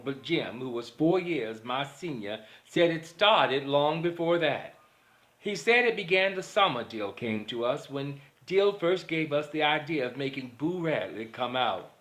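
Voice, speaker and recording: Male reader for literary audiobook narration, mid-range pitch, reading scripted prose aloud. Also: a bit bright.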